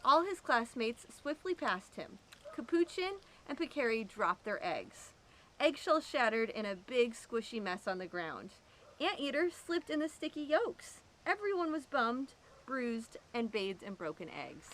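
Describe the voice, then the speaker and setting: A woman reading a children's picture book aloud, with expressive, drawn-out emphasis. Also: fairly high pitch, poor audio. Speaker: storyteller at an animal sanctuary.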